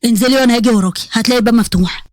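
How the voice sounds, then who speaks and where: A woman storyteller plays a stern young husband in an audio story. The voice drops lower and turns gruff and menacing, giving quick, clipped orders with little patience, in a dry recording. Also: darkish tone, no room sound, close to an everyday voice. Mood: cold, commanding.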